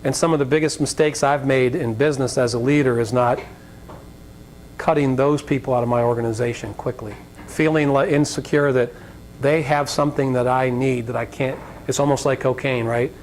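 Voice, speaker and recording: An American man gives an informal guest lecture in a slightly raspy voice of ordinary pitch. He is conversational and jokey, wandering through anecdotes. The live recording carries a faint rumble of background noise. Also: fairly even intonation, moderate pace, a little mellow, low-quality recording. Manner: conversational, joking, digressive. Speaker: guest speaker, business leader. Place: college lecture event before an audience.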